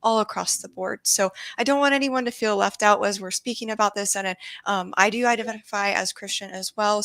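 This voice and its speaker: A woman's voice: mid-range voice, vocal fry, fairly bright tone, dry recording. A woman from Japan speaking as a guest expert in an interview, earnest and explanatory, choosing her words with care and consideration.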